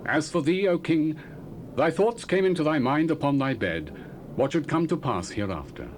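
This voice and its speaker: A man's voice: on the high side, expressive, moderate pace, slight background noise, close to an everyday voice. An actor playing a young male prophet, speaking in solemn, formal, old-fashioned declamation in a dramatized scripture reading.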